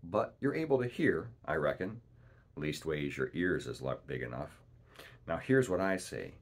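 A man's voice: very deep voice, expressive, normal speed, heavily acted. A man voicing a cunning, domineering pirate ship's cook in a storybook, with boastful, wheedling, rustic seafaring speech. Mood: stern, commanding.

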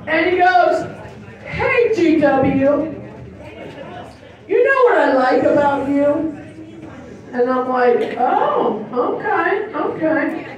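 A raspy-voiced woman, performing a bawdy, self-mocking stand-up set on a handheld mic in a large hall. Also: high-pitched, animated, extremely slow, long pauses, a faint crowd. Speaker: stand-up comedian. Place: large indoor hall on a small stage. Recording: echoey hall from far off with audience.

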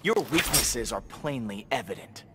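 In noisy game audio with a long reverb, a male actor plays an arrogant young vigilante. He is curt and scornful, with an animated, swinging pitch. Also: moderate pace, close to an everyday voice. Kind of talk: video game pre-fight dialogue.

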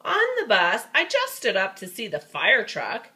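Woman, storybook reader, lively pitch, bright voice, folksy chatty asides. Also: a little slow. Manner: folksy, expressive, playful. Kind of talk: animated read-aloud with chatty asides.

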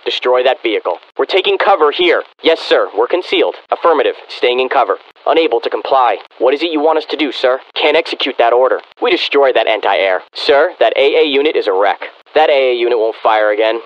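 An actor playing a disciplined American infantry soldier in a military video game, barking clipped, forceful reports to his commander. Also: mid-range voice, animated, fairly quick, hardly any pauses, lo-fi sound, close to an everyday voice. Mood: brisk, determined.

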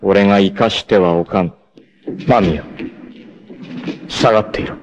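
An actor playing a proud, fierce, devoted martial-arts warrior facing death, with solemn, dramatic delivery in 1980s anime style. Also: male voice, fairly low pitch, background noise. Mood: fierce, protective. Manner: solemn, dramatic, deliberate delivery with intense outbursts.

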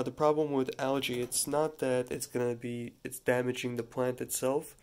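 A man with a stuffy head cold casually demonstrating a gardening task at a kitchen sink, talking in a relaxed, halting way with many restarts. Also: mid-range voice, a little hiss or hum. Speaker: hydroponics gardening channel host. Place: home kitchen at a running sink. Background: running tap water.

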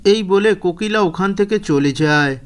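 A man's voice: high-pitched, normal speed. A man narrating a scripted children's bedtime story as the storyteller of a cartoon.

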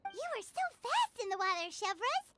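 A woman voicing a tiny floating fairy companion in an anime-style game, with a high squeaky cartoonish voice. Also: very animated, moderate pace. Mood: chirpy. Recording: game audio under music.

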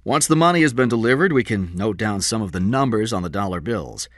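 A man's voice: lowish voice, animated, brisk, dry recording, clean studio sound, pitched above the performer's own voice. A man voicing a frank, practical police lieutenant in an audiobook crime story, speaking in an emphatic, businesslike way.

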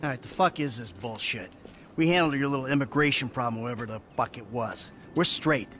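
A man's voice: medium pitch, fairly quick, bright, forceful tone, a little hiss or hum, lightly acted. A man playing a bitter, sarcastic, middle-aged retired criminal in a video game, exasperated and foul-mouthed. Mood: irritated. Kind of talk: video game cutscene acting.

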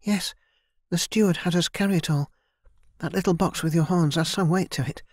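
A man voicing a helpful young servant girl who speaks in a brisk, matter-of-fact way. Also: a little high, a little mellow, dry recording, studio quality, voice pushed up, natural voice.

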